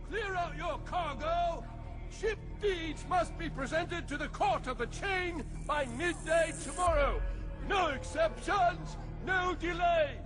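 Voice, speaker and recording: A man playing an officious harbour official in a video game, proclaiming orders in a projected voice. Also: very high voice. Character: officious male harbour official, cowed under threat.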